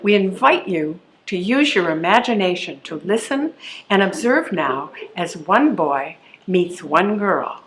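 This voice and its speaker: A woman's voice: lowish voice, expressive, a little slow, a bit bright, poor audio. A woman giving a scripted welcome and introduction as presenter of a stage show.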